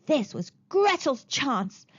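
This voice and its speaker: A woman narrating a children's storybook aloud in an expressive storytelling style, on a slightly muffled recording. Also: sits high, slow pace.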